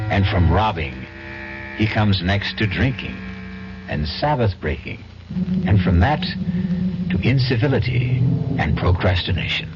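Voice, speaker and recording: A man hosting a 1970s radio mystery series, with theatrical, portentous scripted narration and a wry touch. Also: fairly low pitch. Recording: dull old radio recording. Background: music.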